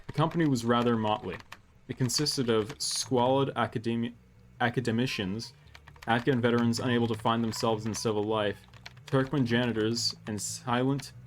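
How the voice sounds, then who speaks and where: Low-voiced man, casual gaming commentary. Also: slight background noise. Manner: low-key, halting over unfamiliar words. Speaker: gaming video host. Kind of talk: casual game commentary, reading on-screen text aloud.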